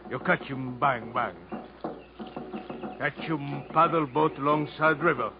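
An actor playing a wary island chief who bargains in halting, put-on in a 1950s radio drama. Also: male voice, fairly high pitch. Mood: bargaining. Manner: halting broken speech. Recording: muffled old radio broadcast.

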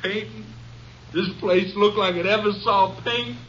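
Desperate stammering poor father, higher voice. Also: fairly even intonation, fairly bright tone, slight background noise. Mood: resigned. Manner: stammering, halting, pleading. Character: desperate, impoverished father protesting his innocence, meek but bitter. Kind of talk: old-time radio drama acting. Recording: muffled vintage radio recording.

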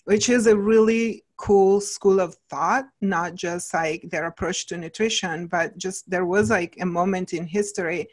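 A woman's voice: on the low side, a little slow, hardly any pauses. Italian woman nutritionist, middle-aged, casual and rambling interview guest. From Italy. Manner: chatty, many fillers like "like" and "you know".

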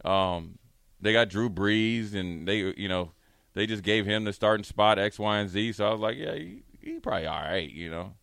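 A man telling casual sports stories as a radio co-host, animated and acting out what people said. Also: on the low side, moderate pace, gravelly, no room sound, clean studio sound. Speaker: radio show co-host, former pro football player.

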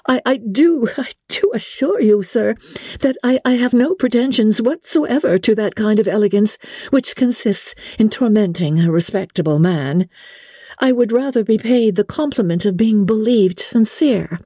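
A woman voicing a spirited young gentlewoman in a classic novel reading, earnest, emphatic and politely exasperated. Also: on the high side, lively intonation, normal speed, dry recording, clean studio sound, pitched above the performer's own voice. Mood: earnest, firm. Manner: emphatic, earnest, stammering in protest.

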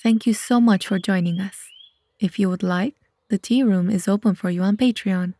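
A woman's voice: medium pitch, moderate pace, dark tone, dry recording. Woman narrator, close dry sound. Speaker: narrator and podcast host. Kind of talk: scripted narration.

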